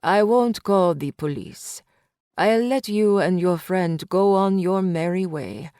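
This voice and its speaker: A woman reading an audiobook, voicing a cold, threatening male gangster who speaks low and taunting. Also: slow, mellow, no echo, clean studio sound.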